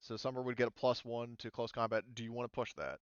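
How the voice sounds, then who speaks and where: A man running a tabletop roleplaying game, talking casually through the rules and thinking aloud in a halting way. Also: medium pitch, level tone, muffled recording, a little hiss or hum. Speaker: game master.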